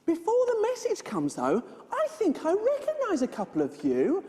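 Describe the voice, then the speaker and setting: A woman playing an excitable, scatty, friendly adventurer in an interactive children's stage show, exaggerated and playful as she talks straight to the children. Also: very high voice, moderate pace, heavily acted. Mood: friendly, excited. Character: excitable, scatty, friendly adventurer setting off by hot air balloon. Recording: echoey hall with long reverb.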